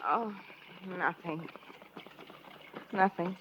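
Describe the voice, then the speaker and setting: A woman's voice: on the low side, extremely slow, dull audio. An actress playing a tender, guarded young saloon girl, hesitant and earnest, in a 1940s radio western. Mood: wistful, flustered. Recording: noisy 1940s radio recording.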